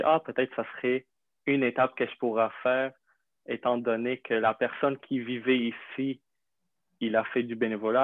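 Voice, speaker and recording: A young man from Syria, a native Arabic speaker, telling his story in an interview with many pauses, fillers and restarts. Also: medium pitch, dry recording. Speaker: interview guest. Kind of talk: hesitant conversational interview. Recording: dry tinny phone-like line.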